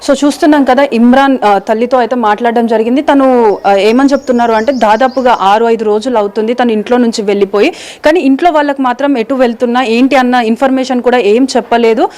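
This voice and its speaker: A woman's voice: a little high, fast talker, darkish tone. A woman field reporter giving a live on-location report, explaining in a wordy, repetitive way.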